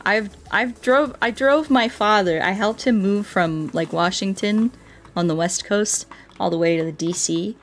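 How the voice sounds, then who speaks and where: An American woman chatting casually as she streams a game, telling things in an animated way and repeating herself for emphasis, with music behind her. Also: medium pitch, measured pace. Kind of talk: casual chat while playing a game, reading viewer comments.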